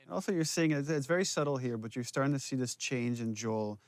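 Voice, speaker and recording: A man's voice: medium pitch, animated, fast, dry recording. A man giving casual, explanatory commentary as a game's writer and director.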